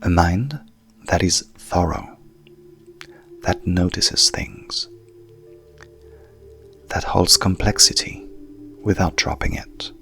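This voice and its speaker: A low male voice with a gravelly creak leads a sleep hypnosis session. He is calm and measured, letting soft phrases trail into breath and leaving long pauses. Gentle meditative music sits behind him. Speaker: coach and hypnotherapist guiding a session.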